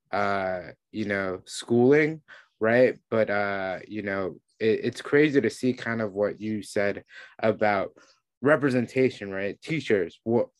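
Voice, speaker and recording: A man's voice: fairly low pitch, no room sound. A man hosting a podcast conversationally, often checking in with "right?". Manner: frequently tags statements with "right?". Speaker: podcast host, teacher.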